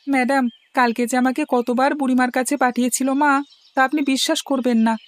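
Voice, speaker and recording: A woman's voice: sits high, flat delivery, fast talker, dry recording, lightly acted. A woman voicing a chatty, curious schoolboy pupil in a cartoon, eager and full of complaints. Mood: curious, complaining. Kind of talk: Bengali cartoon dubbing.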